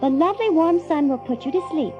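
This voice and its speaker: High-voiced motherly young girl. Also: animated, fast, dull audio, faint music behind. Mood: motherly firmness. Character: young girl playing gentle, firm little mother. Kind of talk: audiobook drama acting.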